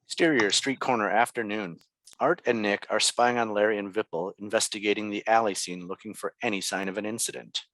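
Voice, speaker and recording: A man narrates a screenplay's stage directions. His low voice has a bright, crisp edge and is recorded close and dry. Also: steady tone, fairly quick, dry recording. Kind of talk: scripted narration for a table read.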